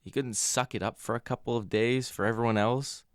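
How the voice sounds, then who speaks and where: An actor playing a skeptical, protective husband who is blunt but supportive, in a naturalistic radio drama. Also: male voice, mid-range voice, steady tone, fairly quick, clean tone, no room sound, clean studio sound, close to an everyday voice.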